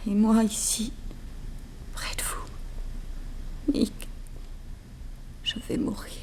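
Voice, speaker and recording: A woman's voice: fairly high pitch, steady tone, drawn-out words, darkish tone, in a whisper. An actress playing a brave, devoted, emotional young female sidekick in an old radio crime serial. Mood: faint, dying.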